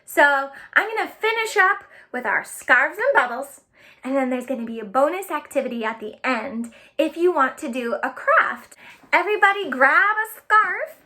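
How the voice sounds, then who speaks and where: Bright, high-pitched woman hosting children's storytime. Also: normal speed. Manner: animated, addresses children directly in simple repeated phrases. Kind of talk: children's storytime with sing-along chants and play instructions.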